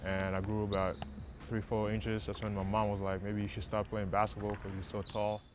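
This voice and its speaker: A young man from South Sudan, a college basketball player, answering interview questions haltingly with many fillers, on a lapel mic in a dark empty arena. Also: fairly low pitch, completely flat delivery, background noise. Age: young adult.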